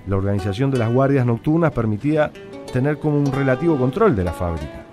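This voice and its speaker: An actor playing a pensive, guarded Argentine factory militant, telling his story in a measured, blunt way in a radio drama. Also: medium pitch, expressive, music in the background, natural voice. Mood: reflective. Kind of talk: dramatic first-person radio narration.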